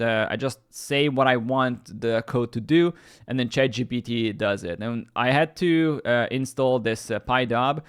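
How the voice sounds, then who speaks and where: A man whose first language is Hungarian walks viewers through a screen-share tutorial. His voice sits at an ordinary middle pitch. He rambles, restarts his sentences and leans on fillers as he explains. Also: clean tone. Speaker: YouTube tech host.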